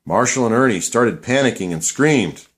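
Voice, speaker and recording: A man's voice: medium pitch, expressive, moderate pace, breathy edge. A man reading scripted storybook narration for a puppet show.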